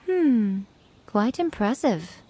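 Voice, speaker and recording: An actress playing a sly, poised priestess in a video game, speaking with teasing mock sympathy. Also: female voice, a little high, sing-song, slow, mellow, a little hiss or hum. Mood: amused, curious. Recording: faint music under the voice.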